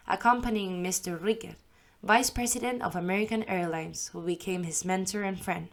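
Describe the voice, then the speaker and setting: A woman voice actress reads a first-person memoir audiobook in scripted narration. Her storytelling is warm and conversational, with lively asides. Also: mid-range voice.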